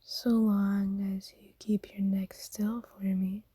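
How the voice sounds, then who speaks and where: A woman voicing a kind, doting, centuries-old vampire in a soft, breathy whisper, speaking formally and tenderly in an ASMR roleplay. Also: mid-range voice, little pitch movement, no echo. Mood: doting, soothing. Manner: slow, tender, formal wording.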